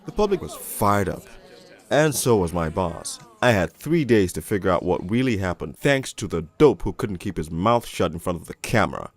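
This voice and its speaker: A man playing a crude, cynical police detective, narrating a crime storybook in the first person in a gravelly, world-weary, deliberate way. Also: sits low, very animated, exaggerated voice. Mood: wry, sardonic. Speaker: narrator and lead voice actor in an animated storybook.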